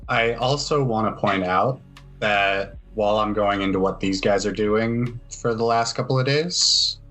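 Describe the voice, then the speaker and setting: A man's voice: on the low side, a little slow, fairly bright tone, soft background music. A man acting as game master, casually laying out rulings and questions in a matter-of-fact way.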